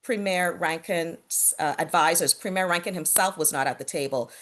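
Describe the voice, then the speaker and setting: A middle-aged woman from the Bahamas speaking on a video call panel, earnest and measured, with frequent fillers and restarts. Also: fairly low pitch, a bit bright, a little hiss or hum. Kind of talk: reflective talk on a virtual panel.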